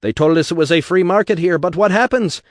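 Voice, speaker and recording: A man's voice: sits high, brisk, no echo, clean studio sound, pitched above the performer's own voice, natural voice. A man reading an audiobook voices a fiery male agitator with an Irish brogue, bellowing to a crowd.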